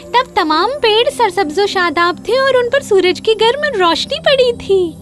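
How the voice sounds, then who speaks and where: A woman's voice: extremely high pitch, expressive, fairly bright tone, faint music behind, voice pushed up. A woman voicing a tiny, tender, sweet-natured girl in a children's fairy tale cartoon, speaking earnestly. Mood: tender, sad.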